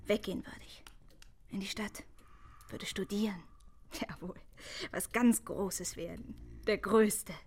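A clever, proud young woman in a German radio drama. Her breathy, slightly husky voice is mid-pitched and often slips toward a hushed, teasing tone. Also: fairly even intonation, slow, long pauses. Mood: wistful. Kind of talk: German radio play acting.

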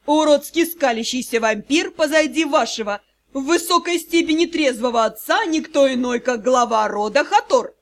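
A woman's voice: sits high, animated, normal speed. A woman voicing a sneering, haughty male vampire clan chief with a snide, formal manner in an audiobook.

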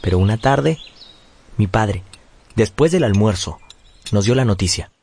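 An actor playing a nostalgic grown son, narrating his childhood in a measured, poetic radio drama style. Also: lowish voice, animated, creaky, a little mellow, breathy edge, dry recording, heavily acted.

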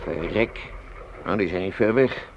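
An actor playing a coarse, mocking infantry soldier, rough and jokey, in a Dutch radio drama. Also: male voice, low-pitched, unhurried. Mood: wry alertness. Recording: muffled radio recording with clear noise.

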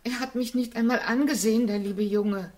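An actress playing a warm-hearted, plain-spoken housemaid in a radio drama, lamenting with emphatic, drawn-out phrases. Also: female voice, a little high, steady tone, lightly acted. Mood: pitying.

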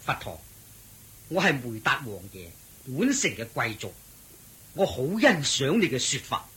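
A man's voice: mid-range voice, sing-song, a little slow, pushed and bright, slightly rough, exaggerated voice, poor audio. An actor playing a courteous nobleman who questions a holy teacher in a respectful, earnest way in a radio drama. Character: Prince Meda, courteous noble male lord. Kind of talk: Cantonese radio drama acting.

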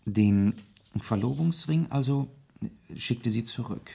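An actor in a 1970s German radio play, playing an unruffled British lord who probes gently in a measured, hesitant way. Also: male voice, mid-range voice, lively intonation, gravelly, slightly rough, full character voice. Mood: attentive, probing. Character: probing, unruffled British gentleman club companion, addressed as lord.

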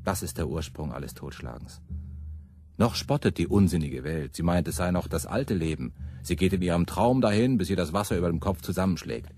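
An actor in a German radio play playing a fiery, embittered radical preacher, with a husky, declamatory and biting delivery. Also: male voice, sits low, animated, brisk, a little mellow, slight background noise. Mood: fervent preaching.

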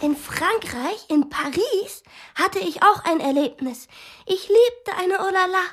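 High-voiced theatrical girl playing soldiers. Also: expressive, takes it slowly, fairly bright tone, slight background noise, exaggerated voice. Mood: dreamy, boastful. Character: young girl playing a wounded soldier telling tales. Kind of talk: radio drama acting.

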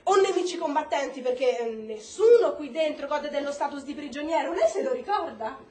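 An actress playing an icy, commanding government secretary in a radio play, speaking formally and cuttingly. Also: female voice, sits high, lively intonation, brisk, darkish tone. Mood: stern, cutting. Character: icy, commanding American government secretary, woman, rebuking an officer. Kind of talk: stage-style radio drama acting. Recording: roomy echo, faint noise.

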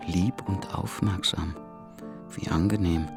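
A man's voice: sits low, steady tone, slow, creaky, over music, full character voice. An actor in a radio drama playing a hesitant, brooding man who muses to himself. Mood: musing.

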